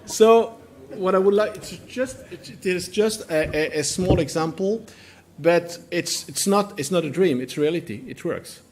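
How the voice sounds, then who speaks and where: Halting non-native male conference speaker. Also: sits high, slight background noise. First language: not English. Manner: halting, repeats words while searching for phrasing. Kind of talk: conference presentation.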